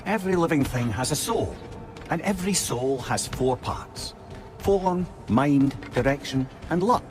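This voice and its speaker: An actor with a Scottish accent playing a wry, learned old advisor in a video game, eloquent and theatrical. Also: male voice, fairly high pitch, expressive, measured pace, over music. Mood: gently explaining. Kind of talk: dramatic video game acting.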